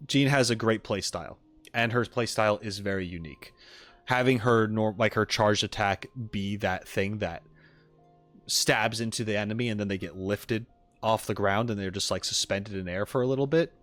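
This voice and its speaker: A man's voice: lowish voice, moderate pace, a bit bright. A man chatting casually on a livestream as a streamer answering viewers, rambling and speculating as he goes. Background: music in the background.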